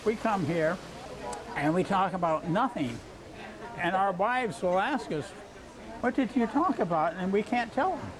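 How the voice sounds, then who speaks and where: An elderly man in his eighties jokes with his friends in a high, light voice. He sits at an outdoor cafe, with lunch crowd chatter all around. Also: animated, measured pace, poor audio. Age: 80s. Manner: joking, bantering. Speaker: interviewee. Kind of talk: casual chat.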